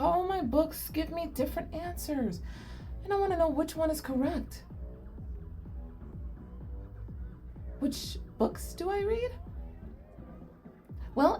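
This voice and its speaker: Woman playing a high-pitched, nerdy young goblin girl. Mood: earnest, puzzled. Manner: earnest, inquisitive, expressive. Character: shy, curious, nerdy young goblin girl, sweet and eager. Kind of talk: ASMR roleplay acting addressed to the listener.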